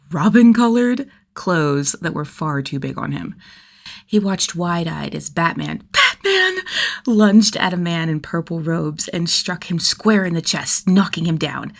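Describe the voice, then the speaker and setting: Woman, slightly low voice, animated story narration, conversational, expressive, enthusiastic reading. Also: gravelly. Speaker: fan fiction narrator.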